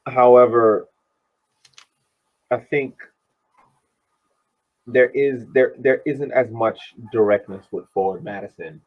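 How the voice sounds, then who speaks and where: A man on a tinny, phone-like call giving casual sports commentary as a panelist, halting and deliberate as he thinks aloud. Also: medium pitch. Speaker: podcast panelist. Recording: tinny, muffled phone-like line.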